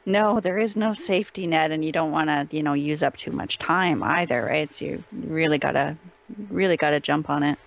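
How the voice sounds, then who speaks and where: A woman's voice: sits low, normal speed, gravelly. A woman chatting casually as an interview guest. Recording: slightly dull lo-fi call audio.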